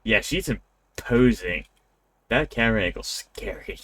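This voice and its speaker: Guy streamer with a lowish voice, rambling casually over a game, kinda wry. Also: expressive, measured pace, bright, forceful tone. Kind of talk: casual livestream chat and game commentary.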